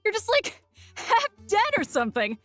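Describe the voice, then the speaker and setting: Lady doing a cocky fanged she-beast, teasing, high and super animated, music behind. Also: normal speed, no echo, full character voice. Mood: amused. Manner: mocking, blunt and playful. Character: brash, teasing female predator creature with fangs. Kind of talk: acted dialogue in an audio story.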